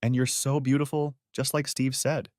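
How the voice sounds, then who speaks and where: A man's voice: mid-range voice, flat delivery, normal speed, a little mellow, no room sound, clean studio sound, voice pushed up, close to an everyday voice. A man voicing a teasing, possessive wife in an audio story, in an arch, bantering manner. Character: teasing, possessive wife meeting her husband's friend.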